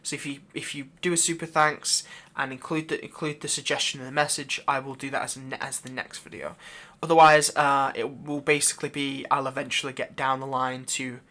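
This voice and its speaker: Male channel host, medium pitch, bright forward tone, casual, unscripted, rambling, hesitant. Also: fairly even intonation, fairly quick, few pauses. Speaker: channel host, audio roleplay creator.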